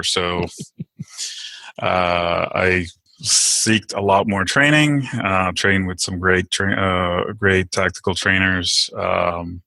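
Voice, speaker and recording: A man's voice: low voice, vocal fry, pushed and bright, no room sound. A middle-aged man from Turkey, answering interview questions in a casual, hesitant way with many fillers and restarts. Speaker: podcast guest.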